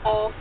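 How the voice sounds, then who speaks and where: A woman talking informally in a casual group chat. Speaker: radio play actor and writer. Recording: tinny phone-like line with clear noise.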